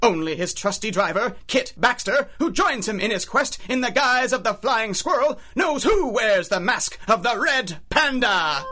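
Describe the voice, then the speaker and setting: A man's voice: very high-pitched, animated, measured pace, hardly any pauses, sharp tone. A man announcing an old-time radio serial in a theatrical, declamatory, scripted style. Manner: grandiose, declamatory. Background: music.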